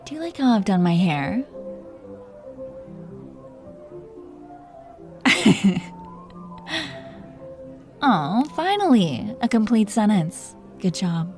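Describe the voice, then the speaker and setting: A woman's voice: mid-range voice, big pitch swings, takes it slowly, pauses often, darkish tone, faint music behind. Woman playing a teasing, seductive dragon queen. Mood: amused, teasing. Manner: teasing, commanding, flirtatious with pet names. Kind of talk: intimate romantic roleplay audio acting.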